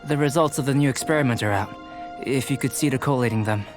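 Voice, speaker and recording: Casting: calm, analytical young male researcher for a game, acted by a man, mid-range voice, polite and detached, over music. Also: medium pitch, natural voice. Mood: explanatory, calm. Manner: measured, scholarly, politely detached. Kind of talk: video game voice acting. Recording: music behind.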